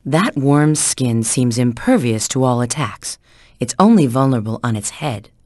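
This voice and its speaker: A woman's voice: very deep voice, darkish tone, no room sound, clean studio sound. An actress playing a sly, confident female spy in a video game, giving scripted hints in a cool, assured, instructive way.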